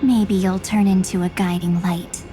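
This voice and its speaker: A woman voicing a solemn, enigmatic young woman in a video game, speaking deliberately and portentously. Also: mid-range voice, steady tone, mellow, lightly acted. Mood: cryptic, solemn. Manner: slow, deliberate, portentous delivery. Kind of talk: dramatic video game voice acting. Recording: under clear background music.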